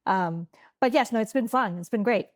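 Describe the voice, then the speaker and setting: A woman whose first language is Tagalog, with a mid-range voice and a dry, close sound. She chats casually in an interview, playful and quick to laugh. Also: mid-range voice, no echo. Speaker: actor guest.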